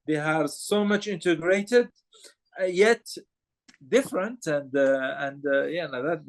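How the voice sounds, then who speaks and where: A man from Morocco interviewing filmmakers, speaking haltingly with many fillers and restarts. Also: a little high.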